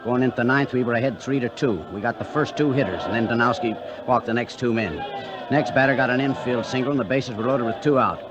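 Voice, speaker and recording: A man's voice: mid-range voice, fast talker, highs cut off, crowd noise, lightly acted. An American man acting a touchy, earnest young rookie catcher in a 1940s-style radio drama. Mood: recounting, tense. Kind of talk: 1940s radio acting, first-person narration and dialogue. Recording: vintage radio recording with background music.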